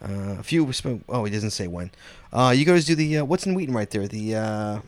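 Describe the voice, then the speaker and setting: Man, podcast host, casual, rambling, animated pitch, dry sound. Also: a little fast, dry recording. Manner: laughs easily, rambling, many fillers. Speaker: podcast host reading listener questions. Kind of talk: casual chat.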